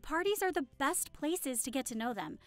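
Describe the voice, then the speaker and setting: A woman's voice: high voice, lively intonation, normal speed, soft background music. A woman playing a friendly, affectionate young hostess in an audio roleplay, chatting cheerfully and reassuringly to the listener. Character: friendly, affectionate young woman hosting a Halloween costume party.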